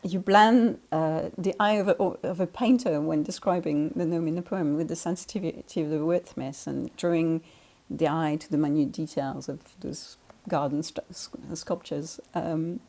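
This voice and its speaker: A woman novelist interviewing a poet, asking thoughtful, measured questions. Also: deep voice, fairly even intonation, mellow.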